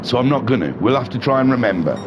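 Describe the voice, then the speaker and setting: Nasal racing commentator with a cold. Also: male voice, medium pitch, fast, lo-fi sound, noisy background. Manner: excitable, jokey, rambling asides. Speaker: race commentator. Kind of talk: live sim racing commentary.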